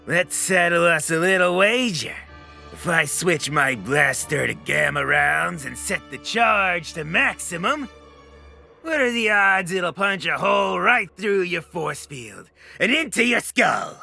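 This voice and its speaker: An actor playing a menacing space pirate villain who threatens in folksy gunslinger talk for a motion comic. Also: male voice, high-pitched, lively intonation, unhurried, creaky, pushed and bright, faint music behind, exaggerated voice. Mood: taunting.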